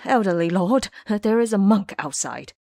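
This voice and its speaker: A woman's voice: mid-range voice, lively intonation, normal speed, creaky, a little mellow, dry recording, studio quality. A woman voicing a frightened male temple laborer in an audio drama, anxious and protesting. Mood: frightened reporting.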